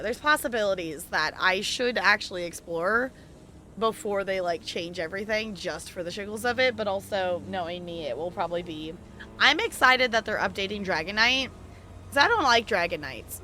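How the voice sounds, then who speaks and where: A woman's voice: medium pitch, lively intonation, normal speed, pushed and bright, slight background noise. A woman chatting casually on a game livestream, rambling and hesitant as she thinks aloud. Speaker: game streamer.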